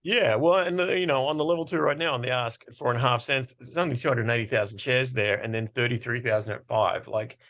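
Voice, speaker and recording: Blunt, wry male interviewer, medium pitch. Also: quick pace, few pauses, a bit bright. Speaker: interviewer and host. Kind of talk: casual interview chat.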